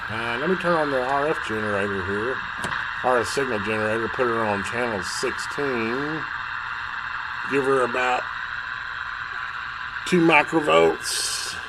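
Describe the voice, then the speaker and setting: Folksy man over loud hum. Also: medium pitch. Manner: folksy, unhurried, thinking aloud. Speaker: radio repair technician and video host. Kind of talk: casual hands-on repair demonstration. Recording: loud electrical hum and background noise.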